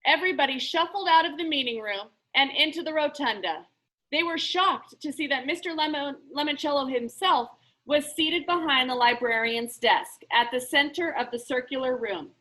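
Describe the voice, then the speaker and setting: A woman reading a children's book aloud as an expressive storyteller. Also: sits high, moderate pace. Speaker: story reader.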